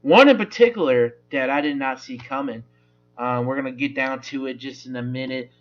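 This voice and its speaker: A man's voice: medium pitch, fairly quick, muffled recording, soft background music. A man hosting a casual sports talk show, with a loose, rambling delivery full of restarts. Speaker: sports podcast host.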